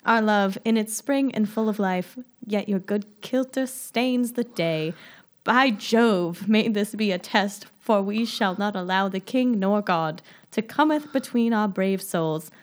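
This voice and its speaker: A woman's voice: medium pitch, measured pace, close to an everyday voice. A woman playing a devoted, melodramatic noble lady with mock-archaic wording in an amateur comic period play. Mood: anguished.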